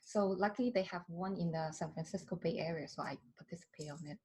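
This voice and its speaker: Brief: woman, 30s, from southern China, husky lowish voice, halting and filler-heavy. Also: a little mellow. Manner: hesitant, many fillers and restarts. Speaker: skincare brand founder, guest. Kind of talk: casual interview.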